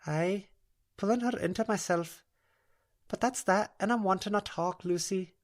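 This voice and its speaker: A woman's voice: medium pitch, normal speed, pitched above the performer's own voice, lightly acted. A woman voicing a soothing, earnest female dream-walker with a broad Scottish accent in an audiobook.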